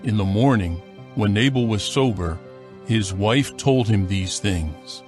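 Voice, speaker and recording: A man narrating a dramatized scripture reading in a measured, formal, scripted style. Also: on the low side, a little mellow, soft background music.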